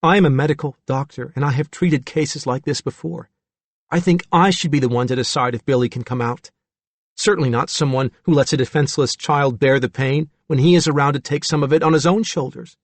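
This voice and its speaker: A man voicing a woman doctor in a storybook reading, gentle but firm, reassuring and measured. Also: mid-range voice, no echo, pitched above the performer's own voice, natural voice. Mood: sharply persuasive.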